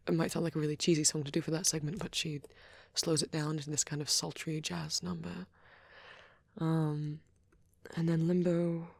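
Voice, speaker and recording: A woman rambling softly in an ASMR style, with a shy, hesitant, breathy delivery that often slips into a half-whisper, close to the microphone. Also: sits low, no room sound. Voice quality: soft, breathy. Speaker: ASMR roleplay creator. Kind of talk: soft rambling ASMR chat.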